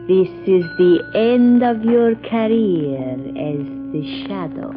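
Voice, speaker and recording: An actress playing a vengeful, mysterious female villain, speaking in a slow, ominous, theatrical way. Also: on the high side, lively intonation. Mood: triumphant, threatening. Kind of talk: 1940s radio acting. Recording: muffled old radio recording, music behind. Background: music.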